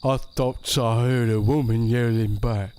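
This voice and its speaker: A man playing a timid, childlike, simple-minded homeless young man in an audio drama, speaking haltingly and simply. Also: medium pitch, steady tone, darkish tone, clean tone, natural voice.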